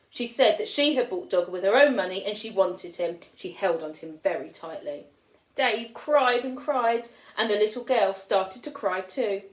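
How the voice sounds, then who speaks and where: A woman teacher reading a children's picture book aloud with expressive storytelling, lifting her voice for the children's lines. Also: mid-range voice. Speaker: primary school teacher. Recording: roomy echo.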